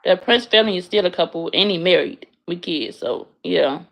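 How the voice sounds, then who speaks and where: A woman's voice: on the low side, expressive, normal speed, creaky, rough recording. A young woman from the United States in her bedroom, chatting casually and bluntly with her livestream viewers. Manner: blunt, frank, swears freely. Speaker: livestreamer answering chat.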